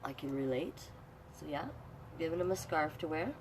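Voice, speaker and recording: Low-voiced woman hosting children's storytime. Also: normal speed. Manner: explains pictures and prompts young viewers. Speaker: online storytime host and reader. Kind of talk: children's storytime, reading aloud with chatty asides. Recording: clear background noise.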